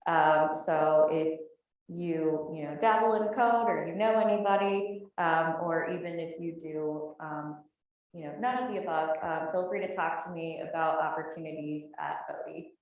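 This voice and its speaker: Low-pitched woman, very echoey room. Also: normal speed, a little mellow, highs cut off. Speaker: startup representative on a panel. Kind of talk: informal company introduction to audience. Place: large room at live event. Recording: distant mic in very echoey room.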